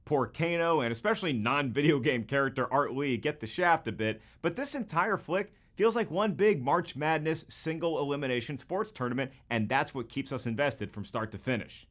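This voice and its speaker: A man's voice: on the high side, expressive, normal speed, hardly any pauses, fairly bright tone. A man hosting a scripted video commentary in a jokey, colloquial and emphatic way. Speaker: host.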